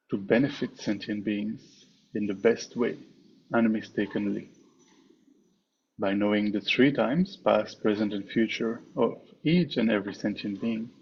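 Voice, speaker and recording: A man with a fairly low voice leads a guided meditation as a teacher. He speaks in short, measured phrases with frequent pauses. The recording sounds dull and muffled, like an online call, with some noise behind him. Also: darkish tone.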